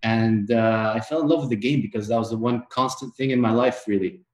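A man from Tunisia, a guest on a casual podcast, telling in a relaxed, friendly way how he came to love football. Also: medium pitch, a little fast. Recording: roomy echo.

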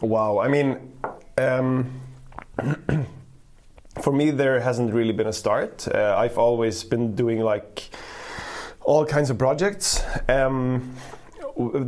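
A man's voice: medium pitch, slow, long pauses, darkish tone, slight background noise. A young man from Switzerland and Sweden, Swiss German his first language, chatting as an interview guest with many fillers and restarts. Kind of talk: casual interview chat.

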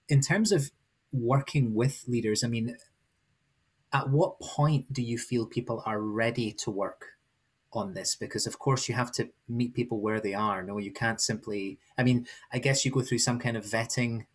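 A man hosting a podcast, asking long, winding questions in a conversational way. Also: fairly low pitch. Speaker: podcast host. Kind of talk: podcast interview.

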